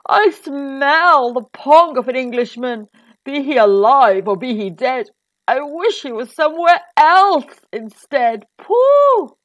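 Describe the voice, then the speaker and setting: Woman putting on a huge, loud storybook giant. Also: sits high, expressive, takes it slowly, no echo, highs cut off. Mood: roaring, menacing. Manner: loud, roaring, declamatory. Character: huge booming male giant, grumpy, smell-obsessed, secretly kindly. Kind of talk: children's storybook character voice.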